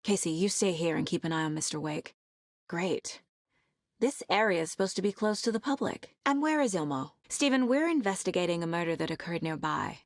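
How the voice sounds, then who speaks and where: An actress playing a determined, focused female FBI agent in a video game, with a serious, measured investigator's delivery. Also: on the low side, animated, dry recording, studio quality. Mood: businesslike. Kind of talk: scripted video game dialogue and inner monologue.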